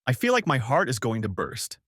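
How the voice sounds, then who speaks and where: A tearful, whiny young wife begs to be forgiven, as a man voices her in a raised pitch. Her voice swings up and down, and the recording is dry and close. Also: huge pitch swings, a little fast, a bit bright, no room sound. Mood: pleading. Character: distraught pleading young wife.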